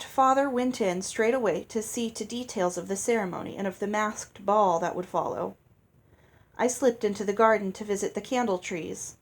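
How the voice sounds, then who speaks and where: A woman reading a novel aloud as narrator, in a storytelling style. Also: mid-range voice. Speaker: audiobook reader.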